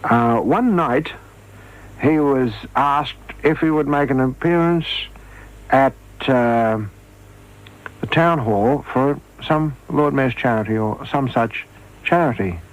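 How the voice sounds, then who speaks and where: A man reminiscing in an interview, telling anecdotes in a measured, halting way. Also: medium pitch, low-quality recording.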